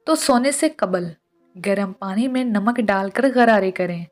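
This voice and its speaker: Slow-paced woman narrating tips over music. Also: medium pitch. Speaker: narrator of household and health tips. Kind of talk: scripted narration of short tips.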